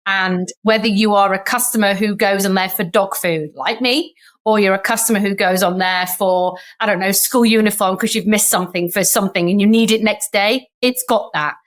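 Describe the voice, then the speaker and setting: A woman in her thirties with a Sheffield English accent, talking as a podcast guest. She tells stories in a chatty way and acts out the voices of people in them. Her pitch sits in the middle for a woman. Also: steady tone, moderate pace. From Sheffield, England. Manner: chatty storyteller who acts out remembered dialogue. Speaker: guest, startup founder. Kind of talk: podcast interview.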